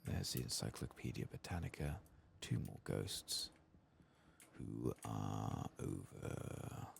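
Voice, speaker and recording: Male game streamer, ASMR style: extremely low, heavily creaky, soft-spoken and muttering, sometimes whispering. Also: big pitch swings, slow pace, a little hiss or hum. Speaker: video game streamer doing a playthrough.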